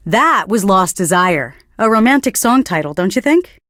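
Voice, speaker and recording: Young female game radio DJ, literary, pretentious, earnest patter, mid pitch, dry close recording. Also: big pitch swings, normal speed, fairly bright tone, dry recording. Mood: smooth promotion.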